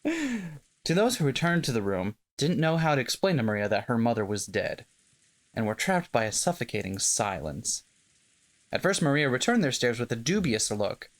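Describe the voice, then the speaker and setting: A streamer reading a visual novel's text aloud in a steady voice, breaking off for wry jokes. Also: mid-range voice, normal speed. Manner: wry, jokey asides between steady reading.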